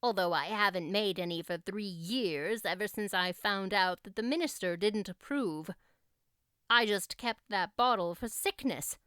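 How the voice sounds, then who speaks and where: Audiobook role: no-nonsense older guardian woman, acted by a woman, gruffly kind, brisk and curt, smooth dry sound. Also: mid-range voice, a bit bright, dry recording, close to an everyday voice. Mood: brisk, exasperated. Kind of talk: audiobook character acting.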